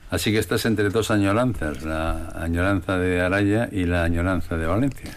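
Deep voiced guy hosting a radio interview, asks straight questions. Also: moderate pace. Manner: inquisitive, plain questions.

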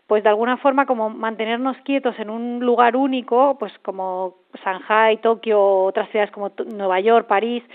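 A woman with a fairly high voice, heard over a narrow, tinny phone line. She explains herself thoughtfully and at length, stopping often with fillers as she works through her ideas. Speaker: interview guest, traveling mother and author. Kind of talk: casual interview over phone.

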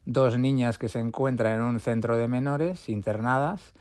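A man with a medium-pitched voice gives book commentary as a guest. His delivery is conversational, and the sound is very dry. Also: moderate pace, a little mellow, dry recording.

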